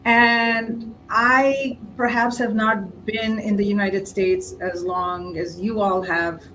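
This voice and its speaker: A woman's voice: medium pitch, little pitch movement, slow pace, a bit bright, a little hiss or hum, poor audio. Woman from India, reflective panel speaker. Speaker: panel participant. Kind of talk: personal reflection in a panel discussion.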